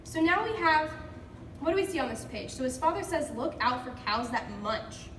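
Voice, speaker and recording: A woman's voice: high voice, animated, normal speed, a bit bright. A woman teacher leading an interactive picture-book read-aloud for children, encouraging and prompting with questions. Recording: echoey room, distant mic, background noise.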